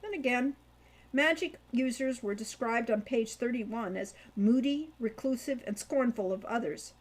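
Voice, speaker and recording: A woman's voice: a little high, unhurried, low-quality recording. A woman reading scripted fiction aloud as an audiobook narrator.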